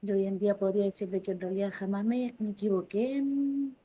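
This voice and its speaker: A woman in her forties with a Chilean accent, speaking softly and reflectively as an interview guest, often restarting her phrases. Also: mid-range voice, fairly even intonation, fairly quick, slightly rough, poor audio. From southern Chile. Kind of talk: casual interview conversation.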